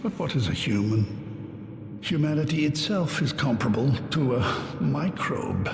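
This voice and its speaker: An actor playing a disdainful, philosophical herald of plague in a theatrical game pantomime, declaiming with scorn. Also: male voice, mid-range voice, expressive, unhurried, creaky, slight background noise. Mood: scornful, ominous. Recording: echoey hall, long reverb, music behind. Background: music.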